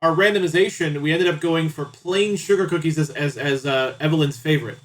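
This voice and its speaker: A man's voice: on the high side, brisk. A man doing casual live game commentary, chatty and playful as he talks to his viewers. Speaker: live streamer.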